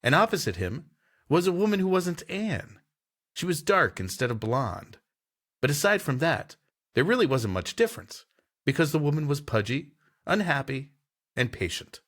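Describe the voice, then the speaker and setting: A man's voice: fairly high pitch, very animated, normal speed, fairly bright tone. A man who hosts and reads a pulp story aloud in a dramatic narrating style. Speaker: host and story reader.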